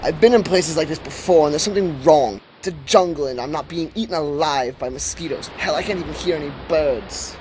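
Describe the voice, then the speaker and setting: A man's voice: on the high side, fairly quick, few pauses, a little mellow, close to an everyday voice. A man playing a wary, experienced soldier in an amateur wartime radio drama. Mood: uneasy. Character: wary, experienced soldier sensing something wrong. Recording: faintly noisy amateur recording.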